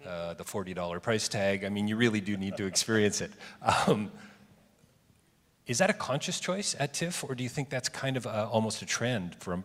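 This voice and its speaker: A man moderating a live fireside chat in a chatty, joking way, often stammering as he starts his questions. His voice sits fairly low, and the room adds a long reverb. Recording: reverberant hall.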